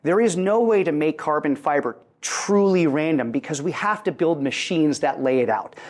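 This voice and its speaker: A man's voice: a little high, expressive, normal speed. A man speaking as a product representative in an interview, articulately explaining technical details with rhetorical questions. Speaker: company representative presenting a product.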